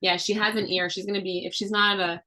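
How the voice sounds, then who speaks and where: Animated mother explaining fun facts to children. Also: mid-range voice, fast. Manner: animated, teacherly, asks children questions. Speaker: children's book author and mother. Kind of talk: casual read-aloud talk with children.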